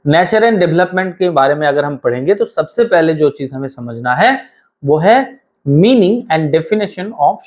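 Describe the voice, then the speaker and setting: Guy teaching online, throat scratchy and sore, kinda high voice, slow and patient, repeats stuff. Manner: explains patiently, repeats key phrases slowly, addresses students directly. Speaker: online exam coaching teacher. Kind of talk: online lecture with course promotion.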